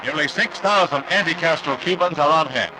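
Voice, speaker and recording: High-voiced 1960s American newsreel announcer, man. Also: a little fast, noisy background. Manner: formal announcer delivery. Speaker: newsreel narrator. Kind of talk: 1960s newsreel scripted narration. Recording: vintage 1961 newsreel soundtrack. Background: prominent music.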